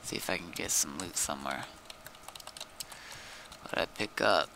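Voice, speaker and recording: A man's voice: sits low, normal speed, vocal fry, noisy background. A man chatting casually and muttering to himself as he plays a game on stream. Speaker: streamer playing a zombie survival game. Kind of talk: casual gaming chat.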